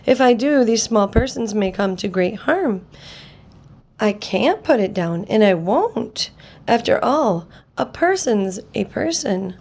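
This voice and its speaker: Woman voicing a slow, earnest kind elephant. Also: medium pitch, expressive, a little mellow, breathy edge, heavily acted. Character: gentle, worried male elephant, big and kind. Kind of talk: storybook reading.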